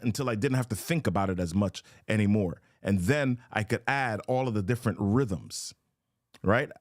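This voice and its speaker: A man's voice: on the low side, no room sound, studio quality. A man hosting a motivational live show, talking emphatically and repeating his key questions. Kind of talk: motivational live-stream talk.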